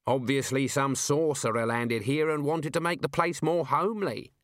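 A man's voice: mid-range voice, moderate pace, fairly bright tone, no room sound, clean studio sound, lightly acted. A man voicing a fussy, flustered older male wizard who stammers and hesitates.

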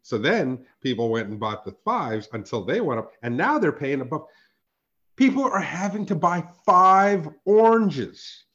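A man with a raspy, hoarse voice, co-hosting a casual podcast chat, emphatic and quick to laugh. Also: fairly high pitch, highs cut off. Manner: animated, emphatic, swears and laughs often. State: hoarse from a sore throat. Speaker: podcast co-host.

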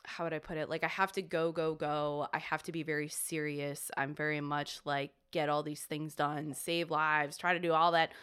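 A woman's voice: low voice, level tone, normal speed, hardly any pauses, a bit bright, clean tone. A young woman chatting casually as a podcast guest, rambling with lots of fillers and restarts. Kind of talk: casual chat.

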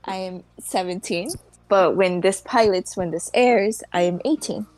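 A woman's voice: medium pitch, a little slow, darkish tone. A teenage girl from Mexico co-hosting a podcast, chatting casually with dry sarcasm. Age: teens. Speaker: podcast co-host. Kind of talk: casual chat.

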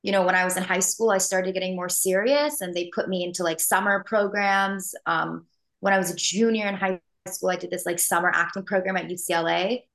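Young woman, American accent, actress guest, earnest and relaxed, gravelly tone. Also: fairly low pitch, brisk. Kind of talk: casual interview answers.

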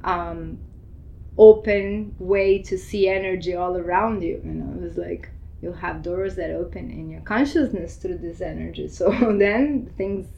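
A woman's voice: fairly low pitch, unhurried, creaky, mellow, lo-fi sound. A woman born in Argentina and raised in Brazil, explaining yoga in a casual interview and laughing often. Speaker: yoga teacher.

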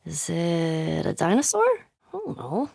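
A woman's voice: on the low side, huge pitch swings, takes it slowly, gravelly, darkish tone, slightly rough, no room sound, clean studio sound, full character voice. A woman playing a tough, sardonic, foul-mouthed young American survivor in a video game, blunt and naturalistic in delivery. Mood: relaxed, dry. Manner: blunt, sweary.

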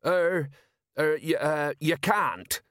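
A male reader plays a blustering merchant captain in a comic fantasy audiobook. The voice sits high, sounding shifty and self-important. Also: measured pace, no echo, clean studio sound, lightly acted. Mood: evasive. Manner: loud, indignant, prone to stammering when challenged.

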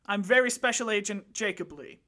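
A woman's voice: medium pitch, fairly quick, a bit bright, lightly acted. A woman voicing a blunt, foul-mouthed male secret agent who gives crude, matter-of-fact reports. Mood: smug. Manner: blunt, profane field-report delivery.

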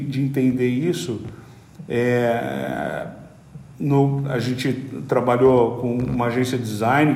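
A man's voice: medium pitch, a little mellow, a little hiss or hum. A middle-aged man with a Brazilian Portuguese accent, a podcast guest who tells business stories conversationally and often stumbles and repeats words as he explains. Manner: hesitant, repeats words while explaining at length. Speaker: podcast guest, company executive. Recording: roomy echoey room.

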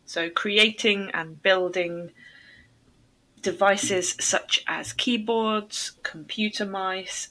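Bright-voiced, slow-paced woman podcast host. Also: medium pitch, poor audio. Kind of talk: interviewing a guest on a language-learning podcast.

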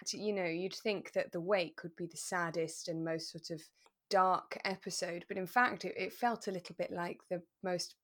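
A British woman co-hosting a relaxed podcast chat about a radio drama, chatty, articulate and quick to giggle. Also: on the low side. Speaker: podcast co-host.

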